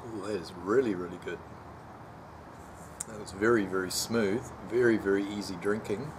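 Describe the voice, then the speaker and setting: A man in his forties hosting a casual homebrew beer review vlog from a sunny backyard patio, chatty and relaxed with many pauses. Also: lowish voice. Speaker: homebrew channel host. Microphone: camera mic at close range, later handheld while walking. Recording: outdoor background noise.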